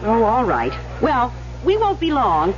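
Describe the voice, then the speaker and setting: A woman's voice: fairly high pitch, huge pitch swings, unhurried. An actress playing a worldly, protective young woman in a radio drama, coaxing and probing her friend. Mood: coaxing, brisk. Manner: coaxing, probing, hesitant when worried. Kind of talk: 1970s radio drama acting. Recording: muffled, noisy old radio recording.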